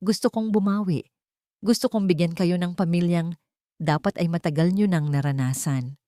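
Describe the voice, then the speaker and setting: A woman's voice: on the low side, normal speed, warm tone, no echo, lightly acted. Romance story lead, read by a woman: remorseful father and CEO, earnest, emotional, pleading, in a dry recording. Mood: earnest, tender.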